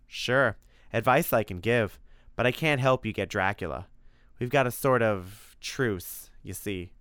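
A man's voice: lowish voice, a little slow, fairly bright tone, clear, resonant tone, dry recording, clean studio sound. A man voicing a big, ugly but good-natured storybook monster who talks in a folksy, mock-grand way. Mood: obliging. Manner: folksy mock-grand speech, eager to explain.

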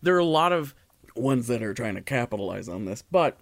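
A man chats casually as a podcast co-host. His voice sits at an average male pitch, and the sound is dry, with no room around it. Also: big pitch swings, brisk, vocal fry, dry recording.

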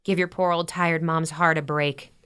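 A woman playing a warm, gently teasing middle-aged mother in a naturalistic audio drama, giving earnest advice. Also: low voice, level tone, normal speed, smooth.

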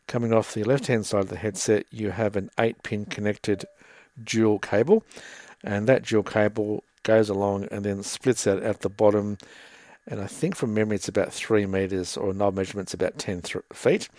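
A man's voice: fairly low pitch, a little mellow, no room sound. A man casually demoing a broadcast headset against a desktop mic, walking through each part step by step and speaking through both mics in turn. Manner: plain, methodical, explaining step by step. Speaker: gear reviewer. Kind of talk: casual product demo. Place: room with air conditioner running. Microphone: headset boom mic, then desktop dynamic mic.

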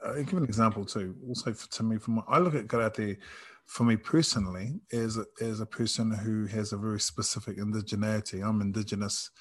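A man from New Zealand with a New Zealand accent and a fairly low voice. He talks casually and reflectively, feeling his way through sentences and often restarting to reword a thought. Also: steady tone, brisk. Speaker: karate practitioner in discussion. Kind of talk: casual conversation.

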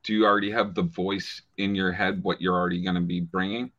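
A man hosting a podcast, asking loose, relaxed questions in casual chat. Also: low-pitched, fast. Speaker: podcast host. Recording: dull lo-fi mic.

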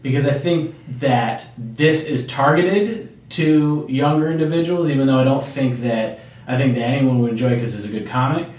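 A man co-hosting a casual podcast, talking in a relaxed, rambling way. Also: mid-range voice, moderate pace, far from the mic. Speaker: podcast co-host. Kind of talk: casual chat. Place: small room. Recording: roomy small room.

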